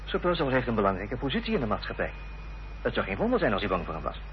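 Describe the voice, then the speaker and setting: An actor playing a determined, formal gentleman in a radio drama, earnest and insistent, on a dull, noisy old recording. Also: mid-range voice, huge pitch swings, very fast. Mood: firm, insistent. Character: determined, formal gentleman confronting an enemy's accomplice.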